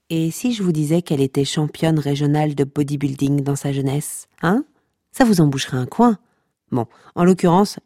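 An actress playing a sardonic, teasing storyteller who narrates comic podcast fiction and scolds listeners with deadpan wit. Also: female voice, deep voice, no echo, studio quality.

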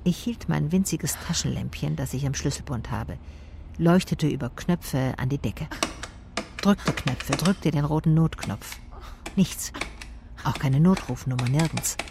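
A woman's voice: sits low, measured pace. An actress playing an observant female private detective, narrating her case in the first person for a radio drama. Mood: tense recounting. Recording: clearly noisy ambience behind voice.

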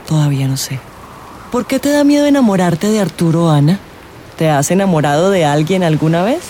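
An actor playing an eccentric, probing astrologer in a radio drama, speaking in dramatic, drawn-out pronouncements. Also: medium pitch, animated, warm tone, a little hiss or hum, natural voice. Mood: teasing, probing. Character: eccentric astrologer, theatrical, teasing, probing, solemn. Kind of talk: theatrical radio drama acting. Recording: music and vehicle sounds behind. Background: music, real outdoor soundscapes.

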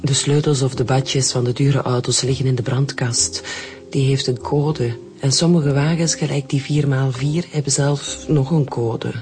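A shaken, grieving Flemish widow. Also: female voice, a bass voice, steady tone, unhurried, a little mellow. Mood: subdued. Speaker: radio drama actor. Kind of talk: radio drama acting. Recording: music behind the voice.

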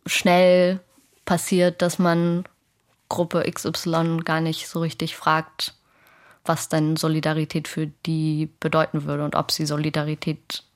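A woman speaking as an interviewee, reflecting tentatively and thinking aloud as she goes. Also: deep voice.

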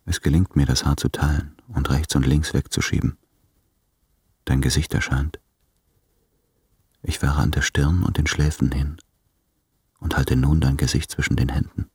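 A weary lover in an intimate radio drama. His voice is extremely deep and very husky, close to breath at times. He broods over his beloved in hushed, even tones. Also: normal speed, pauses often, dry recording, full character voice. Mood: tender, dreamy. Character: weary, lovesick man addressing his distant beloved. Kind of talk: intimate literary radio play monologue.

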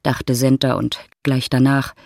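A man narrating a literary audiobook in a measured, dramatic storytelling manner. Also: medium pitch, flat delivery, vocal fry, a little mellow, no room sound, studio quality.

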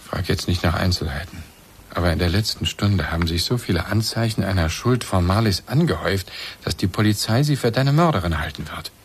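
An actor playing a bitter, reproachful middle-aged husband in a German radio play, agitated and accusing. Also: male voice, sits low, animated, brisk, breathy edge, heavily acted. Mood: grave, accusing.

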